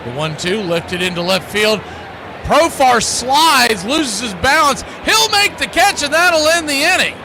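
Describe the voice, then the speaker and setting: A man with a high voice works as a baseball radio host over clear background noise. He gives short, punchy play-by-play calls and slips into casual chat between them. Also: very animated, measured pace.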